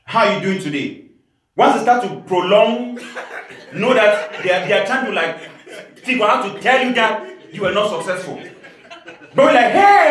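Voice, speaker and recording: A man's voice: high-pitched, fairly bright tone. A man from Cameroon preaching a sermon as pastor, emphatic, with laughing asides. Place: church hall. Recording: echoey church hall.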